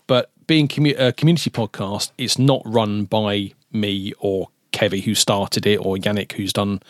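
A man's voice: on the low side, fairly even intonation, moderate pace, no echo. A man co-hosting a casual music podcast from a studio, cheery and chatty, often stumbling and restarting his words. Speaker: podcast co-host.

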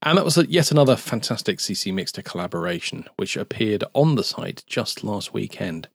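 Guy with a gravelly voice chatting between songs on a music podcast, pitch going up and down a lot, kinda rambly. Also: big pitch swings, fairly quick, dry recording, studio quality. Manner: chatty, rambling asides with restarts. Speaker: podcast co-host. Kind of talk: casual radio-style chat between tracks.

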